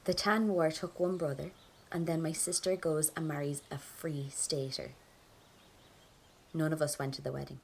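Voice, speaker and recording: A woman's voice: low-pitched, fairly even intonation, normal speed, creaky, slight background noise. An actress playing a grieving, stubborn Irish woman from a 1920s rebel family, telling her story plainly and with restraint, in an Irish accent. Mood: bitter. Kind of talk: first-person audio drama monologue.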